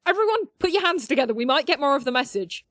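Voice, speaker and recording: High-pitched nerdy, awkward teen girl played by a woman. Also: sing-song, fast talker, fairly bright tone, dry recording, studio quality. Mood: eager, hesitant. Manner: hesitant, rambling, technical explanations. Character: nerdy, awkward teenage girl, eager science whiz. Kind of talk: audio drama acting.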